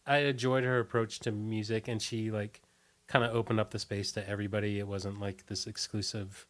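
A man's voice: on the low side, level tone. A man reminiscing casually as an interview guest, telling stories loosely and often trailing off. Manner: halting, trailing off, loose storytelling.

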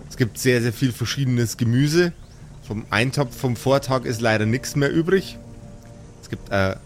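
A man narrating a fantasy tabletop game as game master. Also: medium pitch. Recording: background music under the voice.

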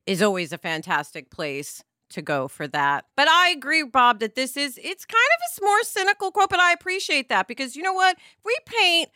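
A woman from New York City with an American accent, co-hosting a casual podcast discussion in a bubbly, expressive way. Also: high voice, fairly quick, hardly any pauses, dry recording, clean studio sound. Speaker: podcast co-host.

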